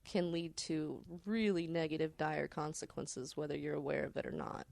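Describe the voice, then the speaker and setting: A woman telling personal stories as a podcast guest in casual conversation, halting and deliberate, with rather formal wording. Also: low-pitched, vocal fry, a little mellow. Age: adult parent.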